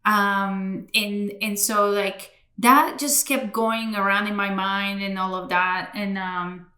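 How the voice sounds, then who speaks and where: A Colombian-born woman in her forties with an American accent, chatting as a podcast guest, quick to laugh and full of restarts. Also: medium pitch, fairly even intonation, pushed and bright. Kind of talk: casual interview chat. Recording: echoey room.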